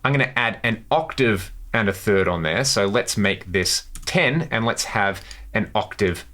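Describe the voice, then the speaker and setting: A man's voice: fairly low pitch, expressive, a little slow, bright, forceful tone, slight background noise. A man hosting a casual guitar gear tutorial, talking through settings with enthusiasm. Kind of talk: casual instructional demo to camera.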